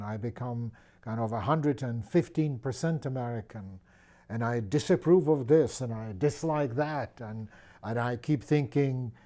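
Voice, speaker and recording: A man in his sixties from the Czech Republic with a Czech accent, speaking thoughtfully in an interview with many pauses and restarts. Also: medium pitch, dark tone. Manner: thoughtful, with frequent fillers and restarts. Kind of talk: reflective personal interview.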